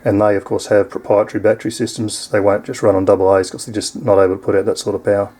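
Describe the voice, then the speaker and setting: Low, mellow-voiced Australian man. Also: little pitch movement, fast. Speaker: product reviewer. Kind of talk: casual unscripted product demonstration.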